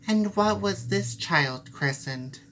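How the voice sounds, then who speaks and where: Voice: mid-range voice, animated, slow, fairly bright tone, a little hiss or hum, voice pushed up, poor audio. A performer voicing a small, earnest, suspicious female mouse in a storybook, plaintive and full of questions. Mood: puzzled. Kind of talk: storybook character voice.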